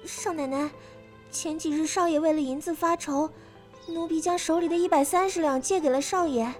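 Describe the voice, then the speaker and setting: A meek young maidservant, played by a woman, pleads in a very high, faltering voice. She sounds timid and devoted, a period drama servant acted over background music. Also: steady tone, moderate pace, close to an everyday voice. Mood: nervous, hesitant. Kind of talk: audio drama acting.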